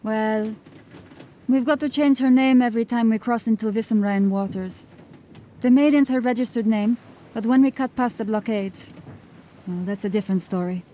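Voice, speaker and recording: A sly, breezy female smuggler captain, played by a woman, talking her way out of trouble in a mellow, warm voice a little higher than most. Faint ambient noise sits behind her, as in an audio drama. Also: moderate pace, natural voice. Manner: breezy, evasive, gently mocking. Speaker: ship captain.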